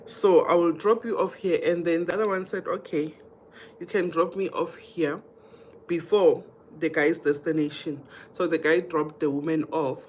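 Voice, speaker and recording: A woman's voice: on the low side, steady tone, moderate pace. South African woman chatting live, background noise. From Mpumalanga, South Africa. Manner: chatty, addresses viewers directly, repeats herself. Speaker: livestream host. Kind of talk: casual live chat, reading comments and telling anecdotes.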